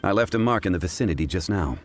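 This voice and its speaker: A man voicing a calm, grave, learned gentleman in a video game, formal and measured in manner. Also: low-pitched, very noisy.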